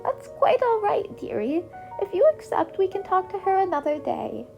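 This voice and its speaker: A woman voicing a kindly old female healer, gentle, unhurried and soothing, in an acted story. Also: very high voice, sing-song, vocal fry, dull audio, faint music behind, pitched above the performer's own voice, full character voice.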